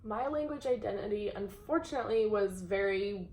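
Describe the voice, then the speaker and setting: A young adult woman speaking casually and hesitantly, pausing often with "um". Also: medium pitch, lively intonation, a little mellow. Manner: hesitant, many "um" pauses, laughs easily. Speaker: interviewer. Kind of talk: casual conversation. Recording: echoey room with faint background hum.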